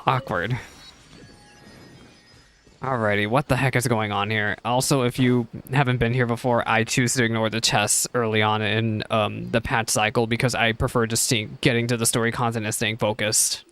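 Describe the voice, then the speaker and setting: A man's voice: medium pitch, flat delivery, moderate pace, fairly bright tone. A man giving casual live reaction commentary on a game, chatty, rambling and self-deprecating. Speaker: game streamer.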